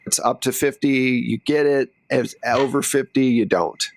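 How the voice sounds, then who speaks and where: A man's voice: medium pitch, steady tone, moderate pace, a little hiss or hum, poor audio. A man running a tabletop roleplaying game, narrating in a conversational, improvised way as game master. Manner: conversational, second-person storytelling.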